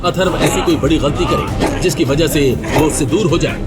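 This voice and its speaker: Highish male dramatic story narrator. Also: moderate pace. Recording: loud music behind.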